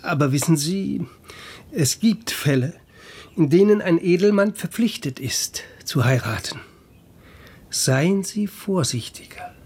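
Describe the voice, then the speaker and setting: An actor playing a gossipy town doctor who gives cautioning, insinuating advice in a radio drama. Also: male voice, fairly high pitch, animated, measured pace, darkish tone, breathy edge.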